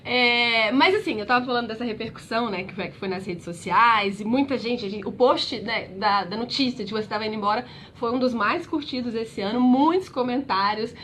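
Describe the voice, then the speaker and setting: A woman's voice: on the high side, brisk, few pauses, fairly bright tone, slight background noise. A woman with a Brazilian accent running a casual interview, asking friendly questions. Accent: Brazilian Portuguese.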